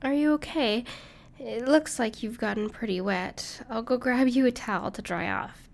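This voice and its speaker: Gentle, caring dragon friend, higher-pitched woman acting. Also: normal speed, creaky. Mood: warm, hospitable. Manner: soft-hearted, reassuring, hesitant with small laughs. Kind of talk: intimate audio roleplay addressed to the listener.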